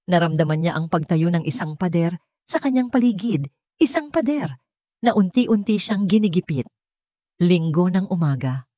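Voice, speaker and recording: A woman reading a scripted romantic drama story as narrator, with measured, melodramatic delivery. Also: lowish voice, mellow, dry recording, studio quality.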